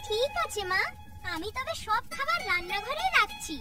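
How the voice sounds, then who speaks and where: A performer voicing a dutiful young daughter-in-law in a family cartoon, meek but quietly stung. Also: very high-pitched, sing-song, moderate pace. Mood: obliging. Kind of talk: cartoon voice acting. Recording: distant voice under loud music.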